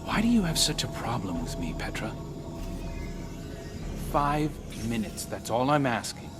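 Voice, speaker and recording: A man's voice: medium pitch, very animated, unhurried, over music. A man playing a brooding, defiant young hunter in a video game drama, speaking tersely and pointedly. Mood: frustrated.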